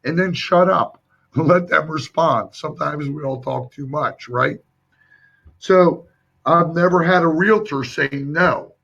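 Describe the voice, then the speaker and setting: A hoarse man coaching viewers through a live sales training, speaking emphatically and addressing them directly. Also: a little high, slow pace, fairly bright tone. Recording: dull lo-fi stream audio.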